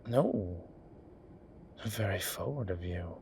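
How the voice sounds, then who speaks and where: A man voicing a flirtatious demon professor who keeps up a genteel, professional front, speaking softly and often in a whisper. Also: deep voice, expressive, vocal fry, slight background noise, full character voice. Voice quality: soft, often whispered, unhurried. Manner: teasing, measured, genteel. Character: flirtatious male demon professor, composed, teasing. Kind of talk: audio roleplay.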